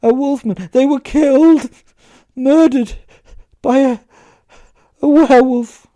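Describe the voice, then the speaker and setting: A man's voice: very high-pitched, takes it slowly, dark tone, dry recording, voice pushed up. A man voicing a terrified, tearful stage psychic in a horror story, stammering and repeating himself in anguish. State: crying, distressed. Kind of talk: dramatic audio horror story acting.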